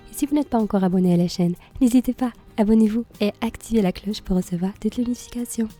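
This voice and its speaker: A woman with a slightly hoarse voice from a cold talks through a recipe in a calm, mellow tone. She explains each step plainly, with the odd small filler. Soft music plays behind her. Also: medium pitch, quick pace. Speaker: cooking channel host. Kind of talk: cooking tutorial voice-over.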